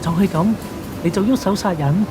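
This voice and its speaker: A man's voice: high-pitched, a little fast, natural voice, poor audio. Man acting righteous Cantonese investigator, music behind. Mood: stern. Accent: Hong Kong Cantonese. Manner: stern, impassioned, moralizing. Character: earnest, righteous police investigator confronting a killer. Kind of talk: radio drama acting.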